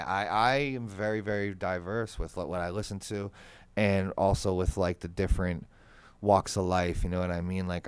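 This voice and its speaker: A man's voice: low-pitched, clean tone, no room sound. A young man with a Boston accent, talking casually as a guest musician, swearing freely and filling with "like" and "you know". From Massachusetts. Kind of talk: casual podcast conversation.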